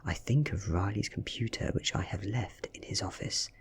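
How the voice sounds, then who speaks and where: Breathy, low British man reading softly. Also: moderate pace. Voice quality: breathy, often slipping into a whisper. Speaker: ASMR reader. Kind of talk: soft-spoken ASMR audiobook reading.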